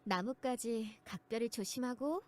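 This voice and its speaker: A woman playing a blunt, teasing young woman in a mystery visual novel, with a brisk manner. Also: a little high, darkish tone, close to an everyday voice. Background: game music.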